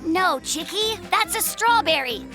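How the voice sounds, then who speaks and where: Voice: very high-pitched, animated, measured pace, over music. An actor playing an eager, good-natured young male bear cub in a children's cartoon, earnest and playful, with lots of exclamations. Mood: patient, instructing.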